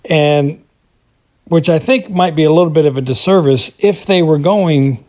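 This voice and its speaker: A man giving informal commentary as a podcast listener, phrasing his points tentatively and haltingly. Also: on the high side, a little mellow. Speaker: podcast listener calling in feedback.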